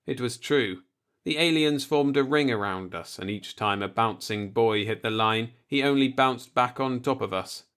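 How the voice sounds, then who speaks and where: A man's voice: mid-range voice, moderate pace, fairly bright tone, clean tone. A man reading a short story aloud as a scripted audiobook narration.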